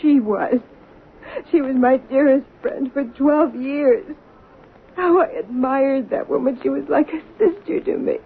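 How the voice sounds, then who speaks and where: A grieving, obsessive waitress in an old radio play. She speaks in a high voice with solemn devotion. The sound is tinny and slightly noisy. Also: unhurried. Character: grieving lunch-counter waitress, obsessed soap opera fan. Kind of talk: radio drama acting.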